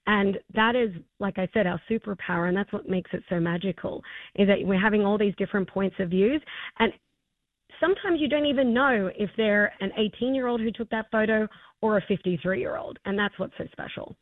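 A hoarse woman in her forties with an Australian accent, a festival co-founder answering interview questions enthusiastically, with many fillers and restarts. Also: mid-range voice, a little fast, dry recording. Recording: tinny phone line, dry.

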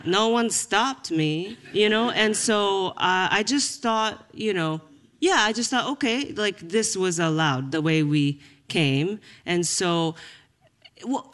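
A woman who grew up partly in Japan, a comedian chatting as a guest in a relaxed interview, rambling playfully and laughing easily. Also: fairly low pitch. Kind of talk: casual interview chat.

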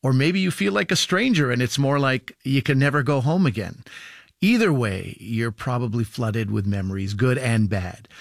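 A man reading a scripted radio introduction to an interview segment in a smooth, even way. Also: medium pitch, no room sound, studio quality. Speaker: radio show host.

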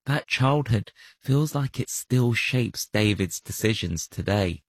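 A man, interviewing and narrating in a measured, gently probing way. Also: fairly low pitch, expressive, breathy edge, no room sound. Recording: dry studio voice-over with soft music.

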